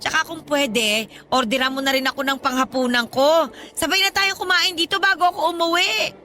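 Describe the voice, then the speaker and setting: A woman's voice: high voice, steady tone, a little fast, pushed and bright, a little hiss or hum. A pushy older godmother. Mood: demanding. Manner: pushy, wheedling, complaining. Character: older demanding freeloading godmother woman. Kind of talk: radio drama acting.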